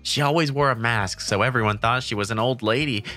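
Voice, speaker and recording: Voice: mid-range voice, a little fast, sharp tone, faint music behind. A narrator telling a first-person romantic comedy manga story in a scripted dub style.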